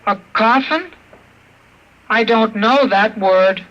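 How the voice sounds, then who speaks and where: A man playing a childlike killer robot with the mind of a six-year-old, talking slowly and innocently in a 1950s radio drama. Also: high-pitched, fairly bright tone. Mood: curious. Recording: muffled old radio broadcast.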